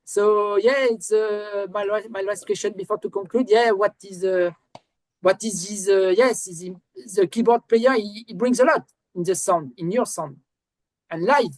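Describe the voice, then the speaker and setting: A woman's voice: mid-range voice, normal speed, darkish tone, no echo, rough recording. French-accented woman interviewer, hesitant. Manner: hesitant, searches for words with many "uh"s. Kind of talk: casual interview over video call.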